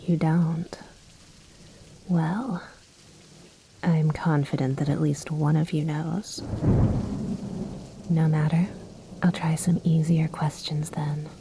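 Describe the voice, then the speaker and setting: A woman playing an imperious, menacing vampire noblewoman in an ASMR roleplay, speaking in a soft, breathy half-whisper with cool, formal condescension. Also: deep voice, level tone, background noise. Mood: cool, condescending. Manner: slow, measured, coolly condescending, formal. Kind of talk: scripted ASMR roleplay monologue. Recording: rain and thunder ambience behind. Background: rainstorm with thunder.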